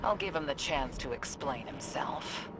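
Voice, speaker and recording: Low-voiced, guarded woman warrior. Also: expressive, unhurried, loud music behind the voice, poor audio. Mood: skeptical, stern. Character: stern, guarded woman space warrior, wary and weary. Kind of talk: dramatic video game dialogue. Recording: loud rumbling game sound effects behind.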